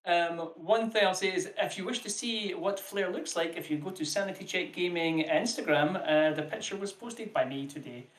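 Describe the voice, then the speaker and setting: A man's voice: high-pitched, normal speed, few pauses. A man hosting a streamed tabletop game as game master, chatty and jokey, rambling between players. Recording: echoey room.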